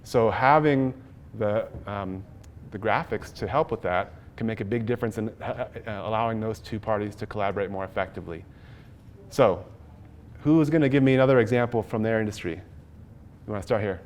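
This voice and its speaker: A man's voice: fairly low pitch, brisk, a little hiss or hum. Man presenting at a podium. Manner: conversational, with frequent ums and restarts. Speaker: conference presenter. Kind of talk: live workshop talk with audience input. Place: large conference hall, at a podium. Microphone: podium mic, later handheld.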